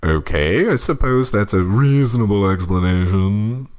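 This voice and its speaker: A man's voice: on the low side, lively intonation, a little slow, a little mellow. A man playing a pompous, fussy old husband reading a horror story aloud for comedy. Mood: peevish. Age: older.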